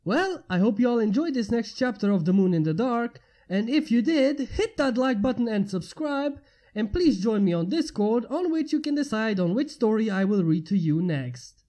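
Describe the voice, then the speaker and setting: A woman's voice: fairly high pitch, big pitch swings, normal speed, mellow. A woman narrating fan fiction as a channel host, reading scripted prose expressively.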